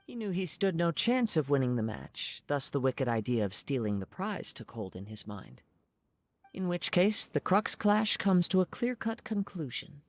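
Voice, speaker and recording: A man playing a serene, courteous young wandering samurai in an anime-style game, speaking calmly in formal, measured phrases. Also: a little high, no room sound. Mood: matter-of-fact.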